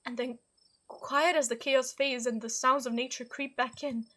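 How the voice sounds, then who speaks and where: A woman's voice: high voice, steady tone, normal speed, fairly bright tone. A woman reading a visual novel aloud, narrating dramatically and reacting expressively as she goes. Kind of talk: dramatic narration of a game script.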